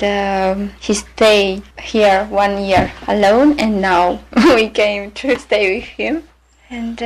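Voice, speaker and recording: This is a Romanian-accented woman speaking English as her second language. She talks slowly and unhurriedly, and her pitch sits in an ordinary middle range. She halts often to search for words and stops to restart her phrases. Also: low-quality recording. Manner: hesitant, halting, many fillers and restarts, laughs softly. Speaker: librarian speaking about herself. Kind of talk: unscripted personal monologue for a listening exercise.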